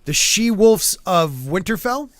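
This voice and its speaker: High-voiced man rambling on a live stream. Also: animated, measured pace, no room sound. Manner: rambling, joking, lots of fillers and restarts. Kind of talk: casual chat during a live stream.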